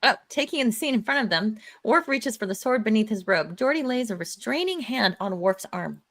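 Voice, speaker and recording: Female narrator, higher register, informal online table-read delivery of stage directions. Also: lively intonation, fairly quick, fairly bright tone. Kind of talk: casual live table read, lively narration.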